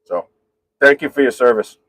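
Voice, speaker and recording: A man with a very loud voice chats casually on a live stream, rambling from topic to topic and answering comments. His voice sits at an ordinary middle pitch. The sound is dry and close. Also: expressive, moderate pace, dry recording. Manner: rambling, chatty, reads and answers viewer comments. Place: home garage.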